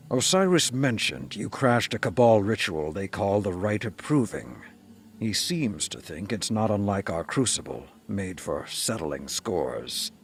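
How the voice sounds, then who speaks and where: An actor playing a grave, commanding male military leader, giving a measured, authoritative mission briefing in a video game. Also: on the low side. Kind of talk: dramatic scripted mission briefing.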